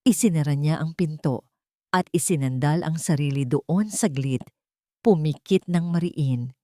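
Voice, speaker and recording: A woman with a low voice reads a scripted drama as narrator. The recording is dry, with very little room sound. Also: measured pace, warm tone, no echo. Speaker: story narrator.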